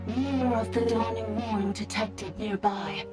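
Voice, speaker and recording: A solemn ancient female automaton, acted by a woman makes formal, cryptic declarations in a slightly high voice. She is single-minded, a game machine. Loud music swells beneath her. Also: steady tone, a little slow, natural voice. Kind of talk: video game voice acting.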